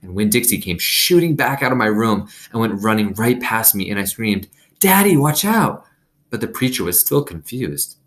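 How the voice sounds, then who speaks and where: A man with a low-pitched voice reads a children's novel aloud. He narrates expressively, as a storyteller would for young listeners. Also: expressive, moderate pace, few pauses, fairly bright tone.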